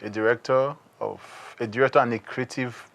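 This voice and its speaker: A man's voice: medium pitch, fairly even intonation, fairly quick, vocal fry, fairly bright tone. A Nigerian man speaking as a guest director in a studio interview, explaining conversationally and repeating points for emphasis.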